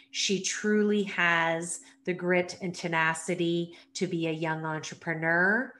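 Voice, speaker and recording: A woman hosting a leadership podcast, introducing her guest and asking questions in a measured, enthusiastic way. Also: fairly low pitch. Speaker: podcast host. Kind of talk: interview hosting.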